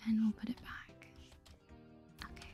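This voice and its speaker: A woman whispering softly in a gentle, polite, chirpy way as she hosts an ASMR game playthrough. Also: measured pace, lo-fi sound, faint music behind. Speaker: gaming video host.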